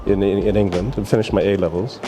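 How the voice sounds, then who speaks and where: This is an older man in his sixties with a lilt and a low voice. He tells his life story as a guest on a talk show in a loose, rambling way and laughs along the way. Soft music plays faintly behind him. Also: fairly even intonation, fast talker. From Barbados. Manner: rambling, laughs while telling stories. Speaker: guest, businessman. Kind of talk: casual interview storytelling.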